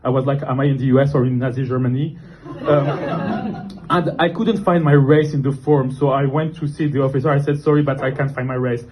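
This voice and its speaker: A young man from Morocco, doing a self-deprecating stand-up set in a comedy club, deadpan and halting with fillers. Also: mid-range voice. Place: comedy club with live audience. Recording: noisy club recording. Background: audience laughter.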